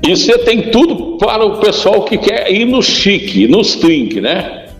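Man radio host, high voice, animated, echoey broadcast with music. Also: unhurried. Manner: teasing, playful questions. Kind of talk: lively live radio interview. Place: store, on location.

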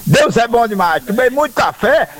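A man calling into a radio show, hoarse from shouting and cheering excitedly for his team. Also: very high-pitched, normal speed. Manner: excited, rowdy fan cheer. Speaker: caller.

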